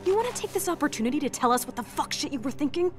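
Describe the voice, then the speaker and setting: A woman's voice: high voice, expressive, very fast, music in the background. A woman playing a brash, sardonic military squad leader in a video game. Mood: wry, annoyed. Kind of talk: video game cutscene acting.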